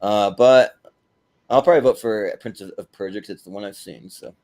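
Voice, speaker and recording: A man with a fairly low voice hosts a casual chat. He rambles along, halting and doubling back on his words as he hands the floor around. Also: a little mellow. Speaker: host of a panel show.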